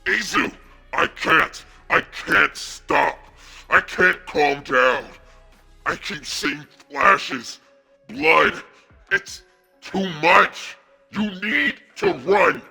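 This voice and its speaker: A man's voice: mid-range voice, lively intonation, slow pace, vocal fry, pauses often, pushed and bright, breathy edge, faint music behind, exaggerated voice. A man voicing an anguished young male ninja losing control, pained and pleading.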